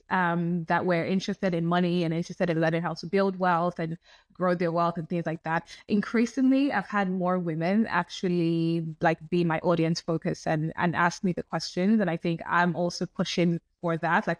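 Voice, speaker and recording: A woman's voice: fairly low pitch, dry recording. Nigerian-raised woman in her twenties, dry close sound. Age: 20s. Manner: fluent, frequent "like" fillers. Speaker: guest, personal finance content creator. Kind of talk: conversational interview answers.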